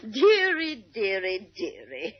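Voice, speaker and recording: An actress playing a coy, sly older society hostess in an affected, cooing 1940s radio style. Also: female voice, medium pitch, wildly swooping pitch. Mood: gushing. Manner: affected, drawn-out, cooing endearments. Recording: dull vintage 1940s radio recording.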